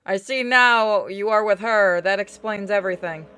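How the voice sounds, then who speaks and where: A woman's voice: medium pitch, normal speed, pushed and bright, clean tone, poor audio. A woman giving a dramatic reading of visual novel game dialogue.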